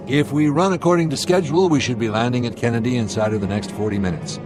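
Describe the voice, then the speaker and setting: An actor playing a steady airline captain in a radio drama, speaking with measured authority and a wry edge. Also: male voice, medium pitch, music in the background, close to an everyday voice. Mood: grave, decisive. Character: steady, commanding male airline captain under pressure.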